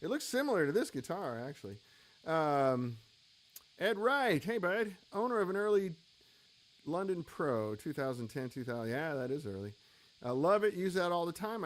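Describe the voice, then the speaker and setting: A man's voice: fairly high pitch, big pitch swings, normal speed, low-quality recording. A man in his late fifties, an amp maker hosting a live web show, chatting candidly and reading out viewer comments, with lots of ums and uhs as he rambles on. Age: 50s. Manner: rambling and candid, with many fillers.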